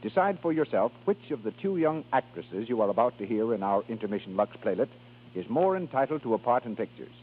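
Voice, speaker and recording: A male radio announcer from the 1930s reads scripted continuity and advertising. His voice is slightly husky and mid-pitched, and the old broadcast sounds thin and tinny. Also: sing-song, a little fast.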